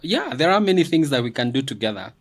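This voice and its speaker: A man with a mid-pitched voice talks through his views in a calm, measured way. He shares reflections on a recent discussion and pauses with casual fillers. The exchange is relaxed and conversational. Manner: measured, thoughtful, fillers like "you know". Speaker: guest commentator.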